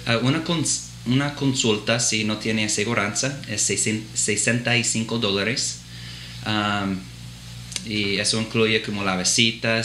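A man's voice: lowish voice, sharp tone, echoey room, a little hiss or hum. A man in his thirties, a physician assistant, speaking Spanish with an American accent learned in the Dominican Republic, hesitating and restarting often in a clinic office interview. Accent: American English speaker with Dominican-learned Spanish. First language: English. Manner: hesitant, many filler sounds and restarts. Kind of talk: interview answers.

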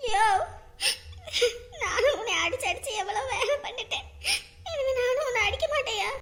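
A woman acting a caring, worried wife, speaking softly. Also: extremely high pitch, normal speed. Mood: tearful. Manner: soft, fussing.

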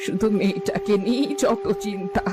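A woman's voice: mid-range voice, sing-song, normal speed, noisy background, close to an everyday voice. A woman playing a poor, worried old village mother in a cartoon, pleading and lamenting. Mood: anxious complaining. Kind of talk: Bengali fairy-tale cartoon dialogue.

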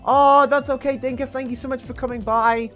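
A man in his twenties giving excitable, sweary casual commentary while gaming with friends, on a close microphone. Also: very high voice, fairly quick. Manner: excitable, exclamatory, swears freely. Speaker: game streamer. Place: indoor room streaming setup. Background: video game music.

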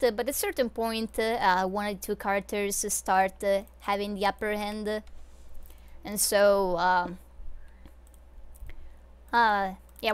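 A woman's voice: fairly high pitch, smooth. A young woman from Switzerland chatting casually while livestreaming a game, rambling with many fillers and little laughs, into a desk microphone at home. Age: young adult. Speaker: streamer. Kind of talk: casual live gameplay chat. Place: home room.

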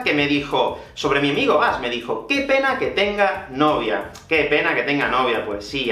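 A Spanish man in his thirties teaching Spanish to camera, lively and gesturing, repeating phrases for learners. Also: on the high side, roomy sound. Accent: Spanish from Spain. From Spain. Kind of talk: YouTube language lesson to camera. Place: small room with whiteboard and shelves, indoors.

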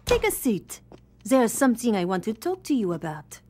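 An actress playing a composed, businesslike young woman who arranges fights in a video game, speaking in measured, formal phrases. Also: fairly high pitch, very animated, over music. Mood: earnest. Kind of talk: video game cutscene acting.

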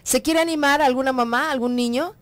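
A young woman from Mexico hosts a morning radio talk show in lively Mexican Spanish. She chats easily, asks her guest questions and calls out greetings to listeners, close on a studio mic. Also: high voice, a little fast. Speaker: radio host. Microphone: studio microphone on a boom.

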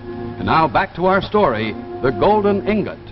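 Male American TV announcer, 1950s commercial style, lively and polished, dull reverberant vintage soundtrack. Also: on the high side, moderate pace, over music. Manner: polished, emphatic. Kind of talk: 1950s television sales pitch. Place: television studio.

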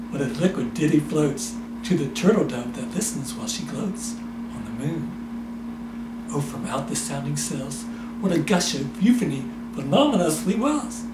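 High-pitched older man reciting poetry, echoey. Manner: intense, theatrical, drawn-out repeated words. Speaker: poetry performer. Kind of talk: theatrical dramatic poetry recitation. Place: small indoor room. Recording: echoey room, loud background noise.

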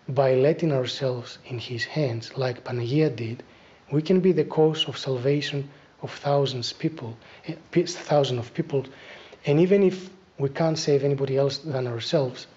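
A middle-aged man with a Greek accent giving a spiritual talk as an Orthodox abbot, deliberate and instructive, pausing between phrases. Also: mid-range voice, darkish tone, highs cut off. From Greece. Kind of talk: spiritual talk, reflective preaching. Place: small church, indoors at a lectern.

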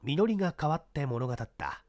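A man reads fiction aloud as an audiobook narrator. His voice sits a little high and moves with lively intonation through a dramatic, scripted narration. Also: normal speed, no room sound, clean studio sound.

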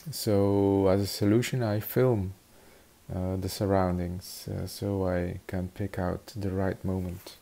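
A Flemish-accented man in his forties, speaking English as a second language. He talks slowly and haltingly, pausing to search for words, and his voice sits a little low. He is recorded on a webcam in an attic studio. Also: little pitch movement, creaky, mellow. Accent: Flemish Dutch. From Belgium (Flanders). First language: Dutch. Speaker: watercolor artist teaching. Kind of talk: hesitant tutorial narration. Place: attic studio with wooden beams.

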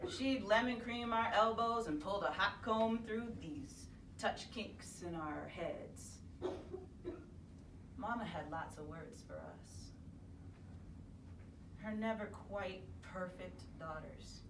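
Woman, middle-aged, reads poetry slowly, picked up from across an echoey gallery room. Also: medium pitch, background noise. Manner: measured, expressive, voices the mother's sayings. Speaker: poetry reader. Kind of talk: slow poetry reading. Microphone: far camera. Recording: echoey room, distant mic.

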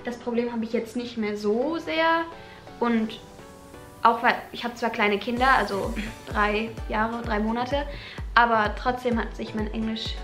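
A young woman au pair chatting casually at home, agreeable and quick to jump in. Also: on the high side, fairly bright tone, roomy sound, faint music behind. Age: young adult. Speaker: au pair vlogger. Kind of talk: casual chat. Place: home living room, indoors.

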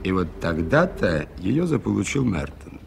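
An actor playing an earnest, skeptical aviator in a radio play, with a gravelly voice and a measured, formal, dryly ironic manner. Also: male voice, low voice, very animated, heavily acted. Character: earnest, skeptical gentleman aviator recounting a mystery. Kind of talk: Soviet radio play acting. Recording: faintly noisy 1980s radio recording.

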